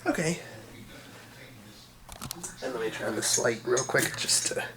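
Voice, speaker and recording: A man with a cold, sounding congested, talking through an unboxing at home in a halting, unscripted way with many pauses and fillers. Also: mid-range voice, animated, gravelly, crowd noise. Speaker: vacuum hobbyist doing an unboxing.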